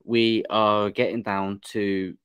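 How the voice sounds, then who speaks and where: Jokey low-voiced English podcast host. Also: male voice, little pitch movement, slow, a bit bright, smooth. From England. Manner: jokey, laughs often, teasing questions. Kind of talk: casual interview chat.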